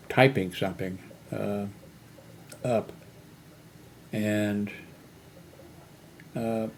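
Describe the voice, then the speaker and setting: Slow, rambling man in his eighties. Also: fairly low pitch, slight background noise. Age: 80s. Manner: meandering, many fillers and restarts. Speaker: channel host. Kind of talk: rambling personal monologue.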